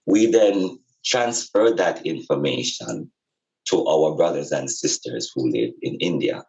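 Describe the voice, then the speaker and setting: A man with a very low voice speaks slowly and emphatically, like a teacher in an informal talk. He repeats phrases to stress his points. The recording is a little dull and lo-fi. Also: animated, vocal fry, darkish tone. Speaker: podcast speaker and spiritual teacher. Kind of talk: informal explanatory talk on spirituality and healing.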